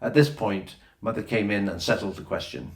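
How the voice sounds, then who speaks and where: A man's voice: on the low side, moderate pace. A man reading a children's adventure novel aloud as scripted narration, with a roomy echo on the recording. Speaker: audiobook reader.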